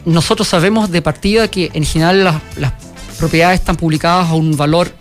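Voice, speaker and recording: A man from Germany with a German accent, a company founder answering questions in a radio interview, halting and full of fillers and restarts. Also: on the high side, fairly even intonation, faint music behind. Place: radio studio.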